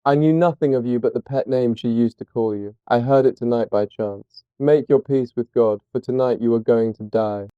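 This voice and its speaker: A man's voice: mid-range voice, moderate pace, hardly any pauses, clear, resonant tone, no room sound, lo-fi sound, voice pushed up, natural voice. A man voicing a vengeful, brutish sailor in an audiobook, with a threatening, commanding manner. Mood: menacing. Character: vengeful, brutish sailor demanding revenge for his sister. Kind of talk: dramatised audiobook character reading.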